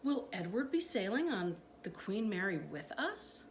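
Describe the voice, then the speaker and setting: A woman voicing an earnest, eager little girl in a storybook, with a high, uncertain, questioning manner. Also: big pitch swings, unhurried. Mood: hopeful. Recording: noticeable background noise.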